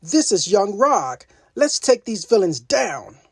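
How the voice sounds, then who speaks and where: A confident, brash superheroine from a children's storybook, acted out by a woman reader. Her lines are wry and bold, with very animated intonation and a dry, close sound. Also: mid-range voice, takes it slowly, slightly rough, no room sound, pitched above the performer's own voice, heavily acted.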